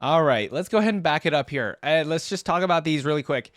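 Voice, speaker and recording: Very low-voiced young woman reacting. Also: fast talker, dry recording, clean studio sound, soft background music. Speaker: reaction video host. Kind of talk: casual reaction commentary.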